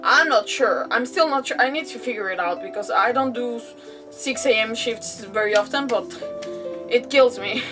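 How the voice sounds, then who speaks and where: A woman with a fairly high voice streams a game and chats casually as she goes. She rambles in an animated, self-deprecating way, as if venting to her viewers. She sounds a bit away from the mic, with music clearly playing behind her. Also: moderate pace, far from the mic. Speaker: game livestreamer. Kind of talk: casual livestream chat to viewers. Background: background music.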